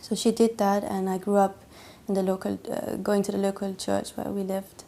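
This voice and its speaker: Young woman, interviewee, hesitant and full of fillers, medium pitch, faint hiss behind her. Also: flat delivery, moderate pace, creaky, mellow. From Denmark. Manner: hesitant, many fillers and restarts. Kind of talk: personal testimony interview.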